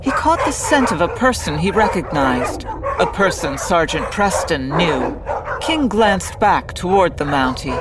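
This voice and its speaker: A woman's voice: lowish voice, sing-song, a little slow, heavy background noise. A woman playing a grave, slyly teasing narrator of a frontier adventure in an old-time radio comedy. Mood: suspenseful. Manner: grave, theatrical, sly.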